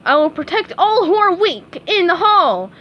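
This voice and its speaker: A woman voicing an eager, excitable young male sea sponge who speechifies pompously in a storybook reading. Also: extremely high pitch, unhurried, muffled recording, voice pushed up, exaggerated voice. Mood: pompous, zealous. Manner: pompous speechmaking, shouting with zeal. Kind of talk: storybook read-aloud.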